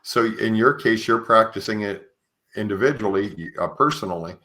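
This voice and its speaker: A man's voice: on the low side, normal speed, sharp tone. A man hosting a conversational podcast interview, speaking tentatively and rephrasing his questions as he goes. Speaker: podcast host.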